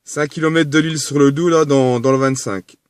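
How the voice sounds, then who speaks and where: A man's voice: on the high side, steady tone, a little fast, clean tone, no room sound. A man chatting casually as an amateur radio operator from a parked vehicle, speaking into a two-way radio microphone.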